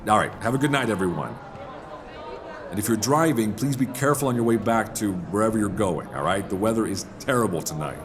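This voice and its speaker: A man playing a charming, teasing English professor in an intimate roleplay audio drama, talking with soft hesitations in a deep, gravelly voice. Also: expressive, a little fast, crowd noise, natural voice. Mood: kindly, addressing class. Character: charming, teasing male English professor, mild-mannered but flirtatious. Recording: binaural recording with faint background ambience.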